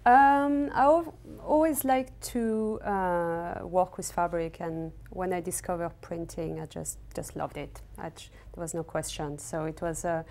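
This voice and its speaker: Casting: a lower-voiced woman with a French accent, an artist who speaks haltingly and gently in her studio. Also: fairly even intonation. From raised in Senegal and Cameroon. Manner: hesitant, many fillers and restarts. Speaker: textile artist guest. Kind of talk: casual interview.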